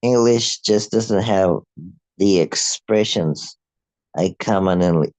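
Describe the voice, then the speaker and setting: A man with an Afrikaans accent, a native Afrikaans speaker, reading a prepared commentary aloud in a measured, halting way. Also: lowish voice, darkish tone, no echo, poor audio. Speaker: video host.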